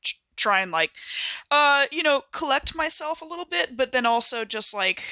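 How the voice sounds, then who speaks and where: Bright-voiced woman playing a tabletop game. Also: high-pitched, expressive, moderate pace, no room sound. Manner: informal, thinking aloud. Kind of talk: casual chat during a tabletop game.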